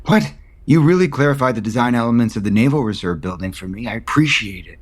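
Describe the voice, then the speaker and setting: An actor playing an earnest, lovestruck man in an audio soap opera, tender and emotionally open, with a slightly husky voice. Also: mid-range voice, lively intonation, fast talker, close to an everyday voice. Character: earnest, lovestruck, nervous man baring his heart.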